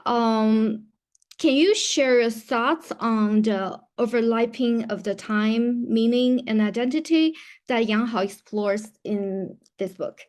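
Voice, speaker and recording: A woman's voice: a little high, slow, darkish tone. A woman moderating a book discussion and putting questions to guests. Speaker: panel moderator.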